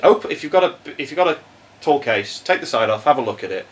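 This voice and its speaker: A man with a lively, swooping voice explains electronics to his friends in a relaxed podcast chat. He laughs easily and keeps things plain and casual. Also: medium pitch, quick pace. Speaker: podcast co-host answering tech questions.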